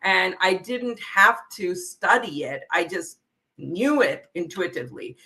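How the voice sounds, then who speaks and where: A woman's voice: lowish voice, expressive, measured pace, sharp tone. A middle-aged woman from India with an Indian accent, a psychotherapist explaining her work earnestly as a guest. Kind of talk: interview conversation.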